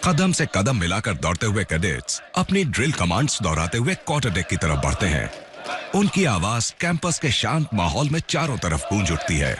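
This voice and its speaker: A man's voice: lowish voice, wildly swooping pitch, normal speed, background noise. A man reading scripted documentary narration as the narrator. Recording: loud music under the voice. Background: loud background music.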